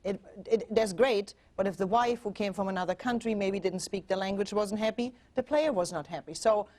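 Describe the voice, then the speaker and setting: Woman, German accent, forties, TV guest, earnest, halting restarts, mid pitch. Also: a little mellow. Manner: earnest, with frequent restarts and fillers. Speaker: guest relationship coach. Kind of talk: TV interview.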